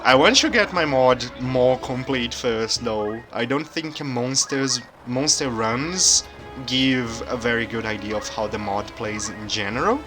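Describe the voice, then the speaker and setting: Soft-spoken Brazilian man streaming slowly. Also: medium pitch. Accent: hard to place, often taken for German. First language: Portuguese. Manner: soft-spoken, quiet and calm. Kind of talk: casual live-stream chat while gaming. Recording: game music playing behind.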